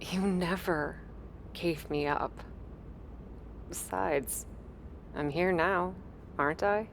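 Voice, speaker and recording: A woman's voice: lowish voice, slow, gravelly, slight background noise. An actress playing a headstrong young female pro wrestler in a video game cutscene, wry and sincere. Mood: sincere, tender.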